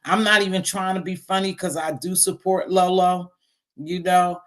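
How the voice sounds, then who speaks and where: A middle-aged woman with a deep voice hosting a casual live stream, talking bluntly and sassily to her viewers. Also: flat delivery, moderate pace. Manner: blunt, sassy, talks straight to viewers. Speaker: live stream host reading chat.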